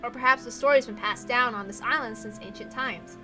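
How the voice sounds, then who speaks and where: A woman reading a visual novel aloud as expressive scripted narration. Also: on the high side, fairly quick. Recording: music playing behind the voice. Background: background music.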